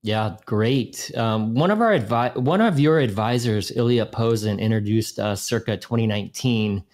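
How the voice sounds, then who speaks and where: Man, 30s, podcast host, fairly low voice, friendly chatty manner, video call. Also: normal speed, a little mellow. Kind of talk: casual interview.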